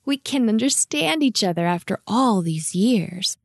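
A kindly old storybook goat, acted out by a woman, wise and courteous. He speaks slowly and gently, with a creaky edge, encouraging in an old-fashioned way. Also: mid-range voice, animated, no echo, clean studio sound, voice pushed up. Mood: warm, welcoming. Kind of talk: children's storybook character voice.

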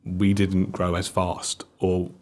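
A young man from South Wales with a South Welsh accent, explaining economics on a discussion panel in a measured way that stumbles and restarts. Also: sits low, completely flat delivery, darkish tone. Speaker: panel guest.

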